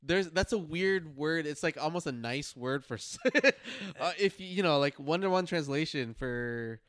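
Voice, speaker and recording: Clear-voiced man chatting casually. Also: fairly high pitch, lively intonation, unhurried, a bit bright, no room sound, clean studio sound. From Philippines or Filipino family. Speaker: podcast co-host. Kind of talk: casual chat, summarizing notes.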